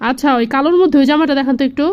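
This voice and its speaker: Actress as price-conscious female shopper, Bangladeshi accent, rapid delivery, cartoon dialogue, dull lo-fi sound. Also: high voice, darkish tone, natural voice. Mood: brisk, inquiring. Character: brisk, price-conscious woman customer at clothes shop.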